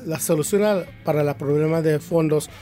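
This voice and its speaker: A man from Kansas with an American accent, a teachers' union representative answering interview questions in halting second-language Spanish, searching for words. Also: fairly high pitch, dark tone, faint music behind. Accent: American English. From Kansas, USA. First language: English.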